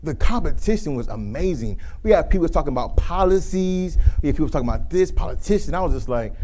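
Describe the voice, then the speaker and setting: Man, 30s, deep voice, mellow dark tone, casual workshop presenter, conversational. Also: quick pace, a little hiss or hum. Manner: conversational, demonstrates monotone versus lively greetings. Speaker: speaker giving a communication workshop.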